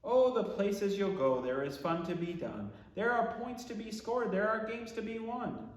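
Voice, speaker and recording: A man, a pastor, reading a children's book aloud in rhyming verse with expressive, theatrical, deliberate phrasing. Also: high-pitched. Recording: echoey room with long reverb.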